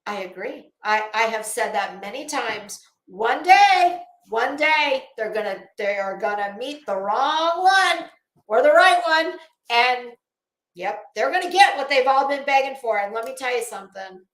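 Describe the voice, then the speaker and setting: A woman with a high voice delivers scornful, opinionated livestream commentary. She takes her time, leaning on words and repeating them for emphasis. The sound is roomy, with a noticeable echo. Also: lively intonation, a bit bright. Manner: blunt, scornful, emphatic, with deliberate repetition. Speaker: livestream host.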